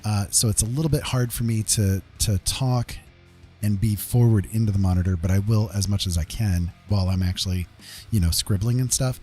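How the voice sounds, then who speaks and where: Guy streaming his art, lowish mellow voice, kinda rambly and halting, guitar music going behind him. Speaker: art livestreamer. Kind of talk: casual livestream chat. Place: indoors at a computer desk. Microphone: desk microphone. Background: guitar rock music.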